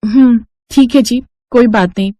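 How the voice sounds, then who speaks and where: A woman's voice: on the high side, normal speed, dark tone, dry recording, clean studio sound, pitched above the performer's own voice, natural voice. A woman voicing a long-suffering young housewife and mother in a family story, plaintive and meek. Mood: meekly defensive.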